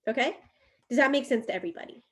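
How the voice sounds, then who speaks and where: A woman's voice: fairly high pitch, racing. A woman giving a recorded chemistry lecture while drawing, explaining step by step, her voice a little worn from coughing. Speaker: chemistry teacher.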